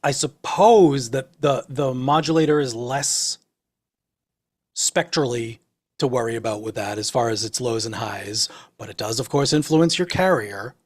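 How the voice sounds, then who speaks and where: A man co-hosting a chat, giving a casual technical explanation in a halting, thoughtful way. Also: medium pitch. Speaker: co-host.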